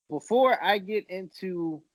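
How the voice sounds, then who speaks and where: A man's voice: sits high, no room sound, low-quality recording. A man hosting a podcast in casual chat about movies and games, speaking informally and often repeating his phrases as he goes. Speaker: podcast host.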